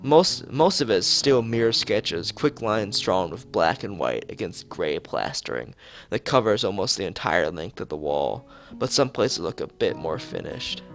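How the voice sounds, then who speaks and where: A man's voice: sits low, big pitch swings, measured pace, creaky, fairly bright tone, faint music behind. A man streaming a visual novel, reading the story aloud expressively with occasional asides.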